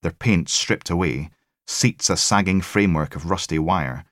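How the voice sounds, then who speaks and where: Very deep male narrator, dry sound. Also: normal speed, fairly bright tone, no room sound. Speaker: audiobook narrator. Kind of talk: scripted narration of a crime thriller.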